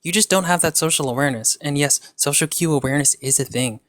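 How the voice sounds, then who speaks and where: A man's voice: fairly high pitch, fast talker, a bit bright, dry recording, clean studio sound. A man in an online debate, mocking and casual, trash-talking with lots of fillers and slang.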